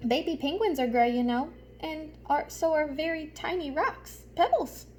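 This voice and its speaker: A woman's voice: sits high, normal speed, darkish tone, faint music behind, voice pushed up, natural voice. A woman voicing an exhausted, complaining gray crayon from a picture book, pleading wearily. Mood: weary, exasperated.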